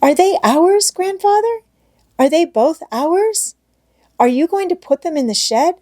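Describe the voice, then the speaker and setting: A woman's voice: very high-pitched, expressive, measured pace, warm tone, pitched above the performer's own voice. A woman voicing an eager, innocent little girl in a storybook, with artless, tumbling speech. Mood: excited curiosity. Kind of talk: storybook audiobook character reading.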